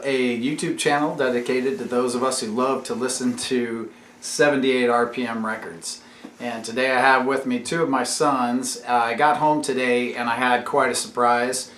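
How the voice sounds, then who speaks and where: A man's voice: mid-range voice, fairly bright tone. Guy doing a casual explainer vlog at home, teacherly, roomy echo, bit of background noise. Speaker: YouTube channel host and father. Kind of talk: casual explanatory vlog, chatting with his children. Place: room at home. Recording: echoey room with faint background noise.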